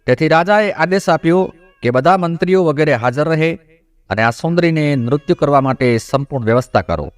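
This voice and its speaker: A man's voice: fairly high pitch, lively intonation, fairly quick, no echo. A man narrating a scripted devotional moral folk tale as a storyteller.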